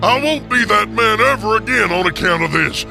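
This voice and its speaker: An old miser in a radio play, overwrought and exclamatory. The voice sits high and swoops widely, with a creaky edge. Every phrase is pushed with full emotional emphasis. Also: male voice, lively intonation, normal speed, music in the background. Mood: terrified pleading. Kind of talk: radio theater acting.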